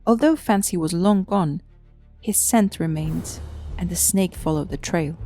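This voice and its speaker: A woman narrates a scripted tale, storybook fashion. Her voice is dark and soft-edged, a little low, with light music behind it. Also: a little slow.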